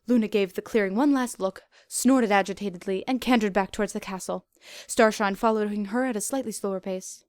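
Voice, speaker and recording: A woman reading fan fiction aloud as an expressive story narrator. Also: medium pitch, a little fast, no echo, clean studio sound.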